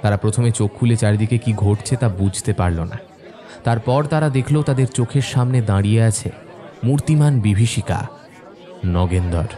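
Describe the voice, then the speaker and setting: A man narrating a scripted horror story in a measured, suspenseful, dramatic manner. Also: lowish voice, expressive, some chatter behind. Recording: soft music bed behind narration.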